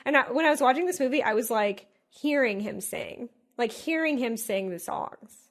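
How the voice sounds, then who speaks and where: A woman co-hosting a podcast, musing in an animated casual chat full of "like". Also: fairly high pitch, normal speed. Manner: animated, musing, heavy use of "like". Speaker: podcast co-host.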